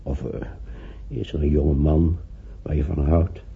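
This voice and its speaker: An actor playing a lonely, sick old gentleman in a radio drama. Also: rumbling low, little pitch movement, unhurried, creaky, slightly rough, exaggerated voice. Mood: tender, probing. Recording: tinny, narrow-band old radio recording.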